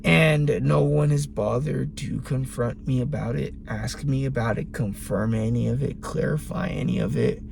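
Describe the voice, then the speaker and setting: A man with a raspy voice giving a slow, deliberate late-night monologue in a parked car, pausing for emphasis. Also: medium pitch, steady tone, darkish tone, a little hiss or hum. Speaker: vlogger.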